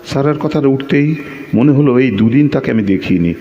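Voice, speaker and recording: A man acting a lovesick, quick-tempered man who tells his own story in a theatrical, emotional radio-play style. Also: medium pitch, animated, highs cut off, a little hiss or hum, natural voice. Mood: brooding. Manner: theatrical, emotional, with repeated pleading phrases. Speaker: audio drama actor and narrator. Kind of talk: dramatic first-person narration of a Bengali audio play.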